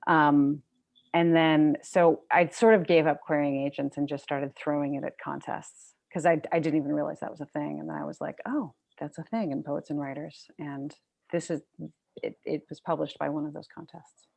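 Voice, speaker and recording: A woman's voice: sits low, fairly even intonation, normal speed, vocal fry, a little mellow, no echo. A woman speaking as a guest author, telling a personal story in a casual, conversational way.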